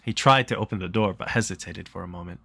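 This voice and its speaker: Low-voiced man reading a game story aloud. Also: fast, creaky, sharp tone, dry recording. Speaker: streamer reading a visual novel aloud.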